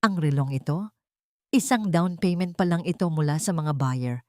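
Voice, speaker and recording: Audio drama role: manipulative grown son, played by a female narrator, pleading and impatient, raised pitch, dry recording. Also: moderate pace, dark tone, no room sound, natural voice. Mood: smug scheming. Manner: pleading, guilt-tripping.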